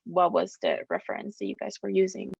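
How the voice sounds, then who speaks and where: A woman's voice: mid-range voice, little pitch movement, brisk, vocal fry, a little mellow, husky, no room sound, highs cut off. A woman from Cuba asking the panel a question directly, searching for words as she goes, over a video call. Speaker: audience questioner.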